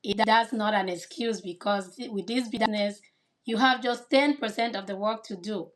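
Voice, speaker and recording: A woman's voice: medium pitch, steady tone, normal speed. A woman from Cameroon, a kindergarten teacher, answering interview questions in an earnest, explanatory way.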